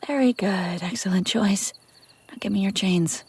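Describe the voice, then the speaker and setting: A woman's voice: mid-range voice, normal speed, husky. A woman playing a brusque, sardonic but secretly caring female bounty hunter in a scripted fantasy audio roleplay, speaking straight to the listener. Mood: sardonic, mocking. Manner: sarcastic, teasing, often dropping to hushed urgency.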